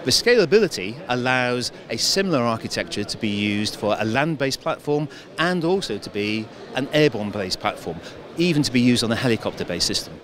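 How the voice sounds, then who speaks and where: A defense engineer explaining equipment in an interview, measured with some hesitations. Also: male voice, mid-range voice, animated, a bit bright.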